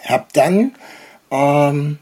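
Casting: casual interview host, soft dark tone with some rasp, enthusiastic, meandering delivery full of hesitations. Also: medium pitch, drawn-out words. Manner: hesitant, rambling, enthusiastic fan talk. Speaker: podcast host.

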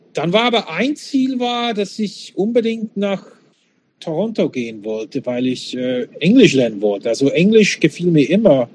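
Male, fifties, non-native German speaker, candid casual storyteller, highly varied intonation, slightly high register. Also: a little mellow. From Switzerland, born in Czechoslovakia. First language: not German. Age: 50s. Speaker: interview guest. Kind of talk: casual reminiscing conversation.

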